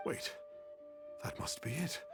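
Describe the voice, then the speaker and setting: A man's voice: takes it slowly, over music, natural voice. A man voicing a pompous, cowardly swindler who poses as an immortal, talking in an affected way in a video game. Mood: smug disbelief.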